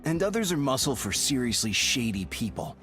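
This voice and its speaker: Animated, easygoing male squad member. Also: mid-range voice, brisk. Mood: casual, upbeat. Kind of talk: game cutscene dialogue. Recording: music under the voice.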